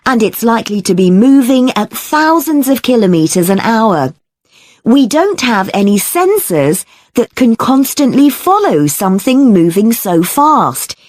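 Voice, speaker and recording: A woman playing a lecturer, delivering a scripted academic talk in a measured, articulate, explanatory way. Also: medium pitch, a little mellow, no room sound, clean studio sound. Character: female academic lecturer explaining problems and solutions.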